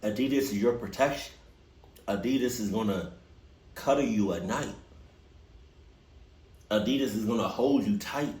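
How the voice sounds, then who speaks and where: A man's voice: lowish voice, normal speed, pauses often, echoey room, poor audio. A young man hosting a reaction video, making teasing, joking remarks to his viewers. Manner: joking, teasing, animated asides. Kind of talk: casual reaction commentary.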